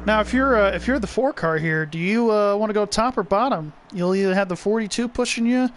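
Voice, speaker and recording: Young guy w a high voice doing chatty race commentary, laid back. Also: brisk, slight background noise. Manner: chatty, loose and conversational, reads viewer comments aloud. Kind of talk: live sim racing commentary.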